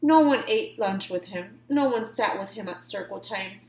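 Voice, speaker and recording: A woman's voice: mid-range voice, expressive, moderate pace, darkish tone, echoey room, poor audio. A woman reading a picture book aloud to children, giving key words dramatic emphasis and adding informal asides. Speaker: read-aloud storyteller.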